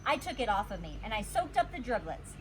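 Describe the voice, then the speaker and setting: A woman reading a children's storybook aloud like a teacher, expressive and emphasizing her words. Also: fairly high pitch, a little fast, slight background noise. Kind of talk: animated storybook read-aloud.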